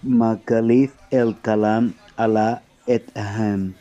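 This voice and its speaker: A man's voice: fairly low pitch, flat delivery, slow pace, warm tone. A man playing a burly, curt in a humorous radio soap, in dull, muffled sound. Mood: stolid.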